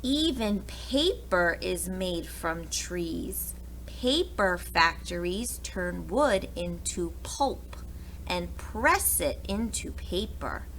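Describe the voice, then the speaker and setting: A woman's voice: on the low side, lively intonation, drawn-out words, fairly bright tone, a little hiss or hum, low-quality recording. A woman reading a picture book aloud to preschoolers, expressively and speaking directly to the young listeners. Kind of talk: children's picture book read-aloud with asides.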